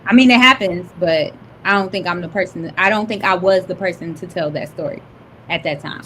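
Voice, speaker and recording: A young woman with a high, light voice talks in a relaxed, giggly way, filling her sentences with "like" and "um." A faint hiss runs under the recording. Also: a little fast, poor audio. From Detroit. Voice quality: high-pitched voice. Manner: laid-back, giggly, lots of "like" and "um". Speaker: author, podcast guest. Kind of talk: casual chat.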